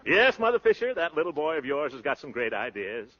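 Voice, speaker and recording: A muffled 1930s radio recording of a breezy show-off suitor. His voice sits fairly high and swings widely in pitch, full of glib slang and pompous flourishes. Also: very animated, brisk, noisy background. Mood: boastful. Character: brash, boastful young suitor, a breezy windbag show-off. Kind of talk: 1930s radio comedy acting.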